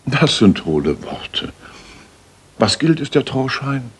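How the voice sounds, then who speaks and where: An actor playing a keen, precise, authoritative gentleman detective in a German radio drama from the 1980s. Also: mid-range voice, big pitch swings, takes it slowly, slightly rough, heavily acted. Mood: commanding. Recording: slightly noisy retro radio recording.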